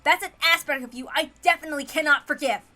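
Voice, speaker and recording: Woman doing a stubborn young guy telling off a witch, righteous, emphatic, high bright voice. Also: fast. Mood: stern reproach. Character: indignant, stubborn young man confronting a cruel witch. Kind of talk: dramatic visual novel read-aloud.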